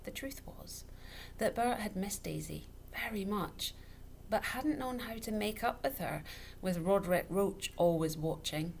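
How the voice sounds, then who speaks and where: A woman reading a children's book aloud as scripted narration, with expressive, dramatic storytelling. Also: mid-range voice, a little slow, vocal fry. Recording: noticeable background noise.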